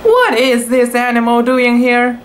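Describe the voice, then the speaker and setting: Man putting on a high-pitched haughty palace lady. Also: animated, measured pace, a bit bright, slight background noise, full character voice. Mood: indignant. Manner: exclaiming, theatrical. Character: haughty, excitable woman of a Chinese palace.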